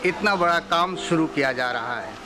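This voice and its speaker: A man's voice: a little high, animated, normal speed, background noise. A man with a Bihari accent giving a tribute as a member of an arts group. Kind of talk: tribute testimonial. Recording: music behind the voice.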